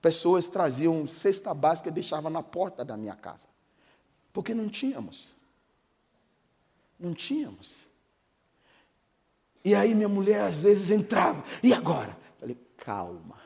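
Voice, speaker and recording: A middle-aged man with a Brazilian Portuguese accent, a pastor giving a sermon with personal testimony. He moves between hushed storytelling and shouted preaching. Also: sits high, sing-song, measured pace, creaky, long pauses. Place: church hall. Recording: echoing hall, long reverb.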